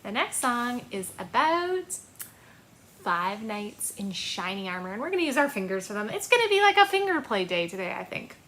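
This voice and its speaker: A woman in her thirties hosting a children's storytime, speaking in an animated, encouraging way to young listeners in a small home room. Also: medium pitch, normal speed, a little hiss or hum. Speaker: children's library storytime host. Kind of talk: children's storytime with action rhymes and reading.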